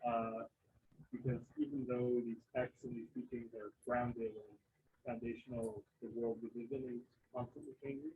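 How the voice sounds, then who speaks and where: A young man in the audience, speaking softly and a bit hesitantly from across the room. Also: medium pitch, flat delivery, moderate pace, phone-quality sound, a little hiss or hum. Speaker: student in the audience. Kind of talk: audience answer. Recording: distant, thin room pickup.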